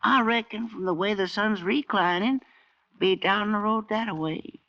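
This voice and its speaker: An actor playing a good-natured freed slave in an old-time radio drama, with a folksy, meandering Southern drawl. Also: male voice, sits high, moderate pace, pushed and bright. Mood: folksy, wistful. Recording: muffled old radio broadcast.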